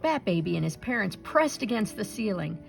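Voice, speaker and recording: Female storyteller for children's picture book read-aloud. Mid-pitched, creaky edge, expressive delivery, faint music underneath. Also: a little fast. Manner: expressive, acts out sounds and dialogue.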